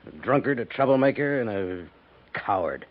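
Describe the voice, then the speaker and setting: A man's voice: mid-range voice, lively intonation, moderate pace, natural voice. A man plays a weary, stern army major in an old radio western. He speaks with grumbling authority in a mid-range voice, on a dull, aged broadcast recording. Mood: exasperated. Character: weary, stern middle-aged cavalry major, post commander. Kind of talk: 1950s radio drama acting.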